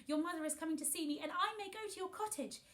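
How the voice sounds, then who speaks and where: A high, tremulous little girl, as a woman narrator plays her in a storybook. She is timid and anxious yet eager, and pleads earnestly. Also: fast talker, fairly bright tone. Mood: excited, delighted. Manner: breathy gasps and tremulous, quavering pleading.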